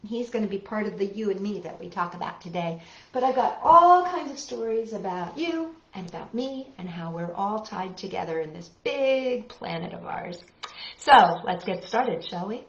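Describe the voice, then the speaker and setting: A woman's voice: mid-range voice, animated, normal speed, a bit bright. A woman hosting storytime for young children, talking, reading and singing in a playful, encouraging way. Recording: echoey room, dull lo-fi audio.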